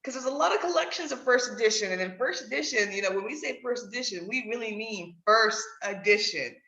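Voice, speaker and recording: A woman's voice: a little high, expressive, fairly quick, sharp tone. A woman hosting a conversational interview podcast, readily agreeing with and echoing what her guest says. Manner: affirming, echoes and agrees with guest. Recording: dull audio in echoey room.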